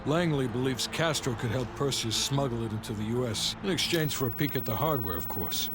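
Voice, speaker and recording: An actor playing a stern, sardonic intelligence officer in a video game cutscene, speaking in a dry, clipped, businesslike way. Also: male voice, mid-range voice, expressive, fairly quick, close to an everyday voice. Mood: serious, briefing. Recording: loud music behind the voice.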